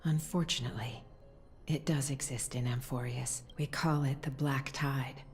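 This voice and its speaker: Low-voiced, tender doting mother. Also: fairly even intonation, moderate pace. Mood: solemn. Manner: tender, soothing, softly spoken. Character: gentle, doting mother telling tales to her daughter. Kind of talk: video game voice acting. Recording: echoey long hall reverb, music behind.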